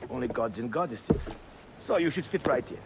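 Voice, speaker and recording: An actor playing a boisterous old ship captain in a video game, bawdy, reckless and theatrical, with a gravelly voice. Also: male voice, medium pitch, animated, normal speed, very noisy, lightly acted. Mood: jovial, roguish. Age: older. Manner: theatrical, jovial. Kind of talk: video game cutscene acting. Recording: loud music behind the voice.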